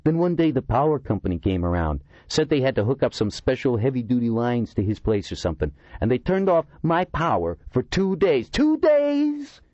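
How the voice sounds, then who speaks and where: A man's voice: medium pitch, sing-song, brisk, hardly any pauses, warm tone, dry recording, highs cut off, voice pushed up. A man doing a whiny, indignant eccentric. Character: eccentric middle-aged man, indignant, talkative.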